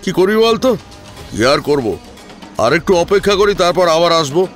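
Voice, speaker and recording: An insistent, pushy man on someone's trail in an audio drama, as a male reader acts him. He speaks high and with a raised voice. Music runs underneath. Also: expressive, moderate pace. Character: pushy male stranger hunting for a debtor. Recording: music behind the voice.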